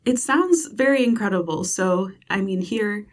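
A woman's voice: mid-range voice, expressive, moderate pace, far from the mic. A woman hosting a podcast interview, asking friendly questions in a casual way. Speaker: podcast host.

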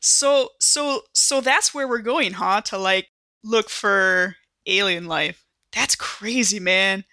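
A woman's voice: medium pitch, slow, pushed and bright, dry recording. A woman playing an easygoing, eagerly amazed young man in a tabletop game, slangy, rambling and cheerfully naive. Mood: curious, eager. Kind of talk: improvised tabletop roleplay.

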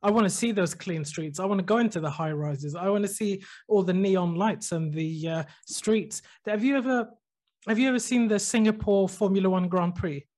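A man's voice: high-pitched, normal speed, a little mellow. A man co-hosting a podcast, explaining things in casual chat and talking eagerly about cities. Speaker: podcast co-host.

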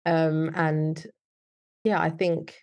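A woman with a British accent and a slightly low voice chats informally as an interview guest. Her speech is loose and conversational, peppered with little everyday filler phrases. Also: little pitch movement, extremely slow, a little mellow. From United Kingdom. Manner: chatty, informal, frequent "like" and "you know". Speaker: wedding photographer, interview guest.

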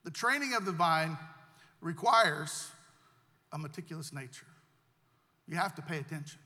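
Male pastor, high bright tone, earnest sermon delivery, church hall reverb. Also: animated, moderate pace. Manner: earnest preaching with repeated words and restarts.

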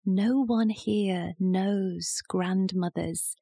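Woman, poetry recitation, very unhurried pace, warm dark tone, measured delivery. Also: medium pitch, fairly even intonation, vocal fry, breathy edge, no room sound. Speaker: poetry reader.

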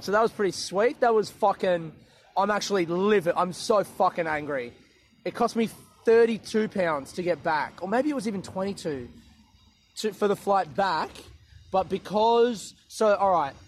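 A young-sounding man with a slightly high voice, swearing freely and mocking himself as he rambles. He talks while walking outdoors, with faint ambience behind him. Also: expressive, normal speed, slight background noise. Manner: sweary, self-mocking, laughs often. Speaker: comedian podcaster. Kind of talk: casual rambling monologue. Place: outdoors, walking through a park.

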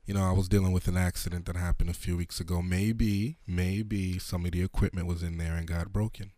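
A man hosting a podcast, hoarse, talking in a laid-back, slangy, profane way. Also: low voice, flat delivery, a little fast, dark tone, dry recording. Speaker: podcast host. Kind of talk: casual podcast chat.

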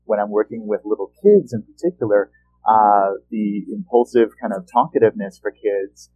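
A male therapist hosting a podcast, explaining treatments in a measured, patient way. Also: on the low side, faint music behind. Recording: tinny phone-like line.

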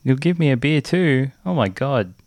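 A man co-hosting a casual chat, easygoing and quick to laugh. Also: mid-range voice, expressive, a little mellow, no room sound. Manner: laughs often, slow and easygoing. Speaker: podcast co-host.

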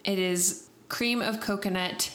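A woman's voice: medium pitch, steady tone, a little slow, fairly bright tone. A young woman co-hosting a podcast, sniffly from allergies, chatting in a rambling way with fillers. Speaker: podcast co-host. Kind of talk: casual chat.

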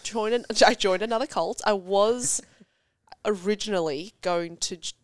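A young woman with a congested cold, chatting as a podcast guest, self-deprecating and quick to tell stories. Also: mid-range voice, noisy background. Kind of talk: casual chat. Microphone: studio podcast mic.

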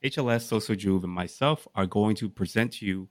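Male podcast host, lowish pitch, dry sound, casual but deliberate explainer. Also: no echo. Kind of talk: casual chat, explaining and questioning.

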